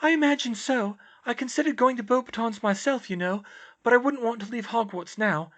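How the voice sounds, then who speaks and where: A man's voice: extremely high pitch, a little fast, a bit bright, highs cut off, voice pushed up. A man voicing a sweet, eccentric teenage girl in a story, serene and dreamily serious. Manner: serene, very serious about odd claims.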